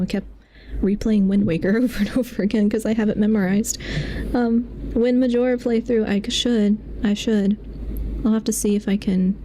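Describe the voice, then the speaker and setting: A woman's voice: medium pitch, warm tone, slight background noise. A woman livestreamer chatting casually while she plays a game, in a rambling, self-amused way. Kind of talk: casual chat while playing.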